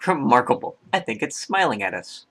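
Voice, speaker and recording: Man as a husky, excitable absent-minded professor. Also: mid-range voice, brisk. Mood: fascinated. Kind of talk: radio drama acting.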